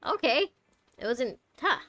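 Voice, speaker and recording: A woman's voice: high voice, very animated, unhurried, fairly bright tone, no room sound. A young woman from Malaysia chatting casually as she plays through a game, rambling and thinking aloud. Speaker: game streamer. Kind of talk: casual commentary while playing a game.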